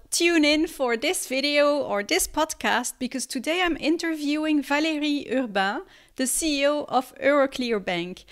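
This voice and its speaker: High-pitched woman host, upbeat and encouraging, talks straight to her listeners. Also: fairly bright tone. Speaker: podcast host. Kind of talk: podcast interview and promo.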